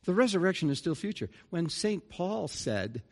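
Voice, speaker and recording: A man's voice: sits high, very animated, moderate pace, darkish tone. A middle-aged man, a pastor delivering an earnest, conversational sermon with folksy asides. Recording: reverberant church hall.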